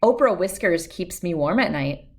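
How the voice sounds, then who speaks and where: A woman's voice: lowish voice, expressive, moderate pace, close to an everyday voice. A woman voicing a skeptical, disapproving cat-owning neighbor woman in a storybook, with a matter-of-fact manner. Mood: dismissive.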